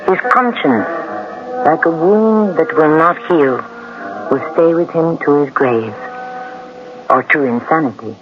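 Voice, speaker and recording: A man hosting and narrating a 1970s radio mystery in a dramatic, deliberate, ominous manner. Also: fairly high pitch, background noise. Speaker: host and narrator. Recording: dull vintage radio broadcast.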